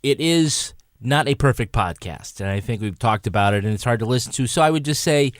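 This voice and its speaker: A man's voice: medium pitch, expressive, brisk, dry recording, clean studio sound. A man co-hosting a podcast, talking in a casual, conversational and informal way. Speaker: podcast co-host. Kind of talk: casual panel review and host-read ad banter.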